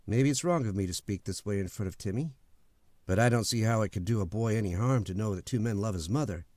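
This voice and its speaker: A man's voice: fairly low pitch, quick pace, a little mellow, no echo, natural voice. An actor playing a frank, determined man in love, speaking earnestly in an old-time radio drama. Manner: earnest, direct speech-making.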